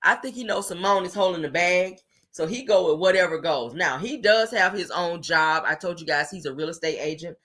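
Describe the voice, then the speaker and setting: A woman doing casual, blunt reality-TV gossip commentary for her viewers, her voice deepened by allergies. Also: brisk, fairly bright tone. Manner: blunt, slangy, talks straight to viewers.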